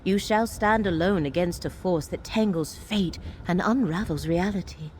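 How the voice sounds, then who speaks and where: Woman doing a solemn fantasy game seer lady, lowish voice, formal and commanding, noisy background. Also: a little mellow, lightly acted. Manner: formal, portentous, measured phrasing. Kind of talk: scripted fantasy video game dialogue.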